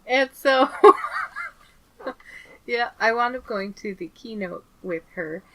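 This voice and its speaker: A woman's voice: a little high, animated, slow, a bit bright, rough recording. A woman co-hosting a podcast, chatting casually, laughing often and acting out little quotes as she recaps. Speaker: podcast co-host.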